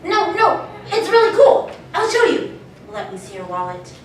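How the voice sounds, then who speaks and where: A woman voicing a proud, excitable child superhero with an eager, insistent manner. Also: extremely high pitch, moderate pace, background noise, exaggerated voice. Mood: excited, insistent. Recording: very echoey hall, distant mic.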